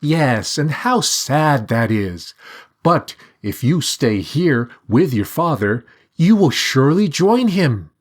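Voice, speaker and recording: A man voicing a sly, coaxing talking bird with a high sing-song voice in a storybook reading. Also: big pitch swings, slow pace.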